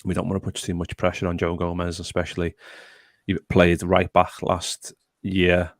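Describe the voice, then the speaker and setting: Man, very low gravelly voice, flat delivery, chatty podcast host. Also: normal speed, no room sound, studio quality. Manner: chatty, reads out viewer comments. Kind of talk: casual podcast chat.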